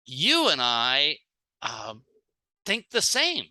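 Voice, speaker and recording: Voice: medium pitch, wildly swooping pitch, drawn-out words, pushed and bright. A speaker giving a sales training seminar in short plain phrases, acting out little example dialogues. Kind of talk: seminar talk in short phrases, paused for an interpreter.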